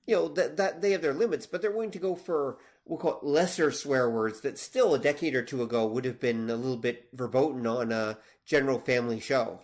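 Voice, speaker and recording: A man of average pitch chats as a podcast co-host. He is agreeable and explains his points in an informal, conversational way. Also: brisk, few pauses. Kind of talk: casual podcast discussion.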